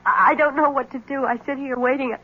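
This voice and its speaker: An actress playing an anxious, fragile, devoted young wife in a 1970s radio mystery, speaking in a hesitant, pleading way. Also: female voice, high-pitched, fairly even intonation, fast talker. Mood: anxious, bewildered. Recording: tinny, band-limited old radio broadcast.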